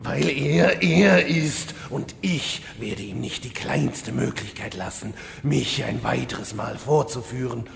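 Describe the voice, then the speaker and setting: A man's voice: medium pitch, takes it slowly, creaky, hardly any pauses, long reverb tail, slight background noise. A man playing a vain, insecure, fussy demon lord in a fantasy parody audio drama, ranting in theatrical exasperation. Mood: irritated.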